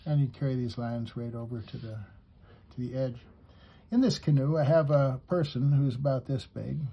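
Male drawing teacher, husky voice, unhurried and halting as he explains each line, slightly muffled sound. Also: mid-range voice. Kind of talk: step-by-step drawing lesson for kids, talking while drawing.

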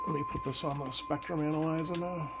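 A man giving a casual, unscripted hands-on demonstration to viewers, halting and thinking aloud as he works. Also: on the high side, fairly even intonation, heavy background noise. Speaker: radio repair hobbyist, video host. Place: indoor home electronics workbench.

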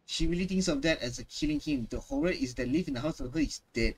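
Lively man musing aloud, higher-pitched. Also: fast, vocal fry, slightly rough. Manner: musing aloud, piecing things together. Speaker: streamer. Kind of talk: casual live reaction commentary.

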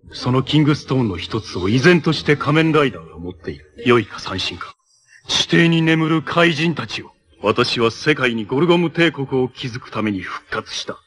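Man playing evil cyborg overlord from an 80s japanese hero show, commanding n theatrical, middle pitched voice. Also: fairly bright tone, lightly acted. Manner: imperious, theatrical, commanding proclamations. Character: menacing armored cyborg villain, imperious heir to an evil empire. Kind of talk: 1980s Japanese tokusatsu TV villain acting.

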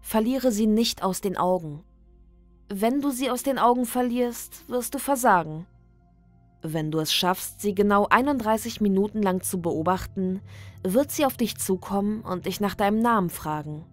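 A woman reading a scripted creepy ritual guide as a horror narrator, in a measured, deliberate, instructional manner. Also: medium pitch, no room sound. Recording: dry studio voice over background music. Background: background music.

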